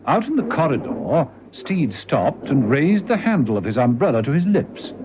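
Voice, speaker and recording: A man's voice: medium pitch, very animated, moderate pace, noisy background. A man narrating a vintage radio serial in a dramatic, scripted style. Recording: dull lo-fi old radio recording. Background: music.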